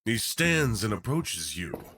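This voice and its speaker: A man reading scripted second-person story narration in a measured, hushed storytelling manner. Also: on the low side, big pitch swings, creaky, a little hiss or hum.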